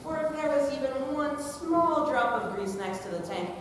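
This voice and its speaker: A woman's voice: high voice, animated, normal speed. A teenage girl acting a pompous, easily panicked female scientist in a stage comedy, speaking in grand declamations. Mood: pompous, declamatory. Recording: distant echoey hall, noisy stage recording.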